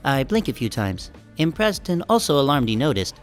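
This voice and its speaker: Audiobook lead: wry, deadpan young sheep narrator male actor, self-conscious, conversational, mid pitch. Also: normal speed, faint music behind, natural voice. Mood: wry, musing. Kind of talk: first-person audiobook narration.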